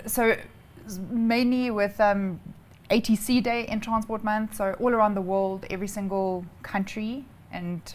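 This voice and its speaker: A woman's voice: medium pitch, slight background noise. Chatty South African woman explaining. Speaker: interview guest, air traffic controller. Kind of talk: informal explanatory interview answers.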